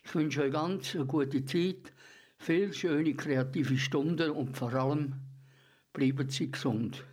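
A man's voice: a little high, slow, darkish tone. A man, hosting a radio programme and addressing listeners in a presenter's style. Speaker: radio host. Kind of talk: radio show sign-off.

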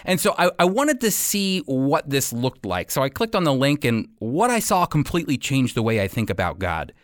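A man's voice: medium pitch, very animated, normal speed, dry recording, studio quality. A man with an American accent hosting a radio program, giving scripted Bible teaching and commentary in an earnest, emphatic way.

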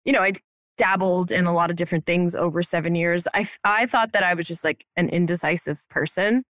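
A woman's voice: on the low side, steady tone, fairly quick, fairly bright tone, no room sound, clean studio sound. A woman in her thirties from Romania, a dental hygienist and practice owner, chatting playfully on a podcast with lots of slang and "like". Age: 30s. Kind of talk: casual podcast chat. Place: her office upstairs at her practice.

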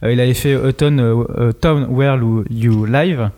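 A man's voice: mid-range voice, steady tone, measured pace, mellow. A man reviewing manga in a casual group chat, speaking informally and with enthusiasm, often repeating his phrases.

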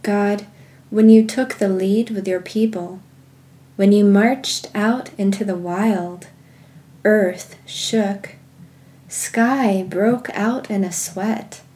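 A woman leading guided contemplative prayer and reading scripture in a measured, meditative manner with pauses. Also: medium pitch, fairly even intonation, warm tone. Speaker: guided prayer podcast host.

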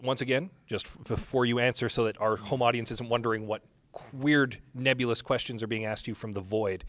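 A man hosts a live interview in a medium-pitched voice with very little room sound. His delivery is jokey and deadpan, full of mild sarcasm as he moderates. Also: brisk, no room sound. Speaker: show host, moderator.

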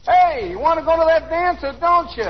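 An actor playing a grumpy, sarcastic young brother, blunt and slangy, grumbling out wisecracks in 1930s radio drama style. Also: male voice, extremely high pitch, lively intonation, a little fast, loud music behind the voice. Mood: yelling impatiently. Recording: muffled 1930s radio broadcast.

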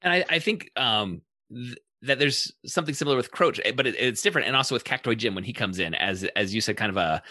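A man hosting a casual, analytical podcast discussion in a halting, thoughtful way. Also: mid-range voice, lively intonation, pushed and bright, dry recording, clean studio sound.

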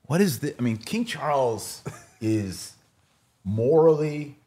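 A man's voice: medium pitch, animated, slow pace, warm tone. A man hosting a casual religious discussion, explaining his opinions emphatically and posing rhetorical questions. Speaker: podcast host.

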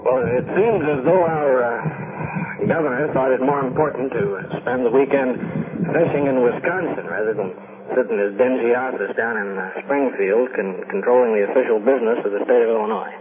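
An actor playing a city mayor in a 1970s radio drama, speaking in halting officialese through a tinny, noisy broadcast. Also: male voice, mid-range voice, close to an everyday voice. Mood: bitter, sarcastic. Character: grave male city mayor addressing press in a crisis.

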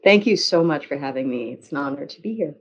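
American female journalist as interview guest, measured conversational storyteller with pauses, muffled low-fidelity recording. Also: animated. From United States. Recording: dull, lo-fi audio.

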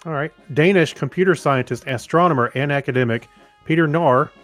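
A man co-hosting a casual podcast, reading items and chatting haltingly, often stumbling over his words. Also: a little high, fairly bright tone, soft background music. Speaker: podcast co-host.

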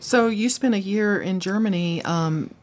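A woman hosts a radio health interview show and keeps it moving with brisk, short prompts. Her questions and acknowledgments are quick and to the point. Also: mid-range voice, a little mellow. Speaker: radio talk show host.

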